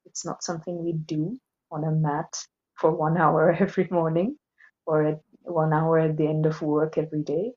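A woman with an Indian accent talking reflectively as an interview guest, explaining things in a measured way. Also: sits low, level tone, warm tone, highs cut off. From Assam, northeast India. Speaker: interview guest, yoga teacher. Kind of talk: thoughtful podcast conversation.

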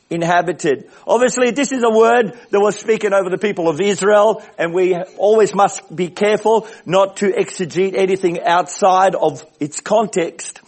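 A man with a big booming voice, preaching a sermon as a visiting speaker in a church, with emphatic repetition. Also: a little mellow. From Australia. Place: church service.

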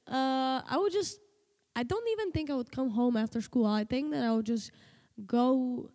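A woman's voice: high-pitched, normal speed, mellow. Giggly teenage girl in a long-reverb room. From Slovenia. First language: Slovenian. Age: teens. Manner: hesitant, many fillers and restarts, giggly. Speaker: guest, host's daughter. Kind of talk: casual interview chat.

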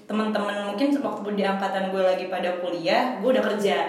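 A woman's voice: medium pitch, steady tone, brisk. A woman in her twenties, a podcast guest casually telling her story in slangy talk with English phrases mixed in. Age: 20s. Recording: very echoey room with faint noise.

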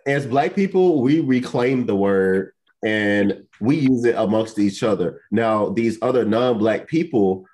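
A man's voice: on the low side, expressive, unhurried, few pauses, a little mellow. A man co-hosting a casual podcast who speaks bluntly and emphatically and swears freely. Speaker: podcast co-host.

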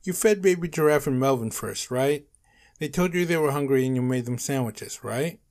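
A man's voice: mid-range voice, moderate pace, a little mellow, pitched above the performer's own voice, lightly acted. A man voicing a polite, long-suffering crocodile caretaker in a story, worried and pleading.

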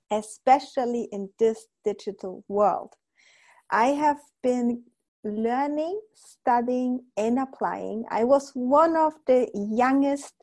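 Upbeat woman podcast host, mellow voice. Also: a little high. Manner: enthusiastic, effusive motivational talk. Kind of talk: podcast interview.

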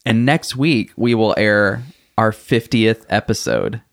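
A man's voice: lowish voice, takes it slowly, vocal fry, no echo, studio quality. A young man co-hosting a podcast in casual, joking banter with a friend, often restarting his sentences. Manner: playful, jokey, with frequent restarts. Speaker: podcast co-host.

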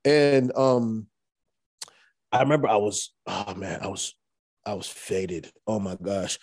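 Mellow-voiced young rapper telling a story. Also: male voice, lowish voice, takes it slowly, no room sound. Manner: relaxed, rambling, many fillers and restarts. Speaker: rapper guest. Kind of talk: casual storytelling interview.

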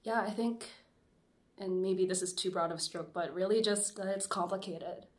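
A woman, a guest who once led a seminar, speaking in an earnest, musing way with soft trailing endings. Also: mid-range voice, steady tone, normal speed. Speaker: interview guest, seminar facilitator. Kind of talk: casual conversational interview.

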